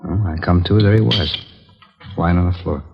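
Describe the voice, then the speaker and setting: A man's voice: low voice, normal speed, gravelly. An American man acting a casual, remorseless drifter who confesses to killings in a 1950s radio drama, talking in an offhand, rambling way on a dull, noisy old recording. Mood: haunted, animated. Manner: offhand, matter-of-fact, rambling.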